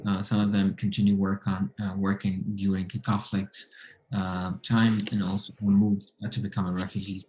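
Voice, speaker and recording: Syrian-accented man, halting answers. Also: deep voice, monotone, dark tone, a little hiss or hum, low-quality recording. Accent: Syrian Arabic. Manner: halting, many fillers, non-native phrasing. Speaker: guest entrepreneur. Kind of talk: interview answers.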